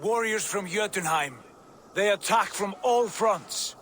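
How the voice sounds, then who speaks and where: An actor playing a resolute Norse warrior god in a video game, speaking formally and urgently. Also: male voice, high voice, unhurried, fairly bright tone, slight background noise. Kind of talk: dramatic video game acting.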